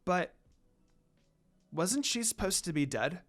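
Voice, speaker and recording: Guy doing a curious caring young woman in a story, lively highish voice. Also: slow pace, soft background music, full character voice. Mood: puzzled. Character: concerned, curious young female friend. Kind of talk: dramatic story reading.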